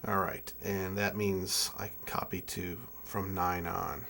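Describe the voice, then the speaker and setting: A man's voice: low voice, flat delivery, vocal fry, pushed and bright, slight background noise. Young man talking through a hands-on tutorial. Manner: halting, thinking aloud while working. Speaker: music keyboard tutorial presenter. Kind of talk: casual hands-on software tutorial.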